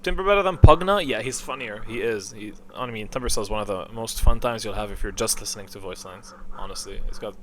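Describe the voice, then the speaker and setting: A man's voice: on the low side, fast, hardly any pauses, fairly bright tone, rough recording. A man giving casual, rambling tier-list commentary, thinking aloud and often answering his own questions. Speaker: video creator ranking game voice lines. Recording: clear background noise.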